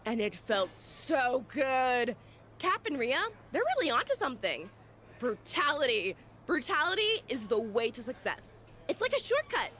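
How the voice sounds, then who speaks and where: An actress playing a hot-headed, vengeful young female pro wrestler in a video game cutscene, excitable, emphatic and colloquial. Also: high voice, unhurried, slight background noise, exaggerated voice. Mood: exhilarated.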